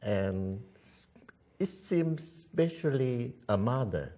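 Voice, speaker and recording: A man interviewing a guest, asking questions in a halting way as he searches for his words. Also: medium pitch, lively intonation, dark tone. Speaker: interviewer, local TV host.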